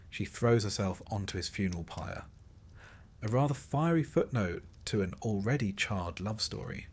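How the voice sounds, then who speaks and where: A man with a somewhat low voice narrates in the manner of a long bedtime tale. His phrasing is measured and deliberate, set out in careful pieces. The delivery is scripted and literary, with a wry, understated edge. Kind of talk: scripted sleep-story narration of Greek myth.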